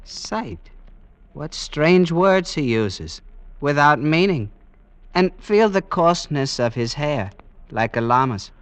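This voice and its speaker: Blind male villager: unhurried, solemn, dismissive, dry sound, golden-age radio declamation. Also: fairly high pitch, sing-song, no room sound. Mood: disdainful wonder. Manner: deliberate, declamatory, archaic phrasing. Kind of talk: vintage radio drama acting.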